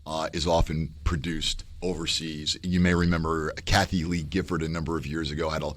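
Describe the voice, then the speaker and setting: Deep-voiced man explaining trade, halting. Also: level tone, fairly bright tone, no room sound. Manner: explanatory, many restarts and fillers. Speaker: professor guest. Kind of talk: radio talk show discussion.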